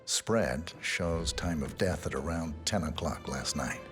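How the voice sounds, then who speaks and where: Very low, deadpan male assassin. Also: measured pace, faint music behind. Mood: cold, deadpan. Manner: deadpan, clipped, precise. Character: cold, laconic male assassin posing as a detective.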